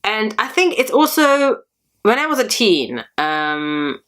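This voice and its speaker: A woman's voice: mid-range voice, very animated, slow, a bit bright. A woman raised in Germany whose first language is Tamil, hosting her own channel and talking casually in a rambling video diary with lots of fillers. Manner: rambling, chatty, many fillers. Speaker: channel host.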